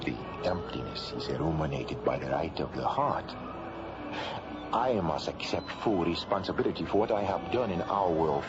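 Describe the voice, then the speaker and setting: A man in a radio drama playing an earnest scientist and space traveller, with solemn, measured, formal phrasing. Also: low voice. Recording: dull lo-fi old radio recording. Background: loud music.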